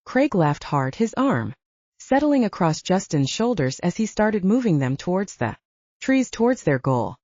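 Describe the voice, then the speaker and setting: A woman's voice: on the low side, very animated, measured pace, darkish tone, no echo, muffled recording. A woman narrating an audiobook, reading expressively and giving each character a voice.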